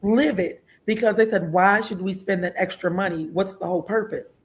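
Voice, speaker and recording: A woman's voice: mid-range voice, moderate pace. A woman in her sixties hosting an interview show, chatty and laughing often. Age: 60s. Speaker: host. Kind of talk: casual interview talk.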